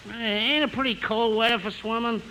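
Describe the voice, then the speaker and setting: An actor playing a slangy, inquisitive, hapless pool player in a 1950s radio comedy. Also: male voice, extremely high pitch, fairly even intonation, a little fast, pushed and bright. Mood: grumbling, envious. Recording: noisy 1950s radio recording.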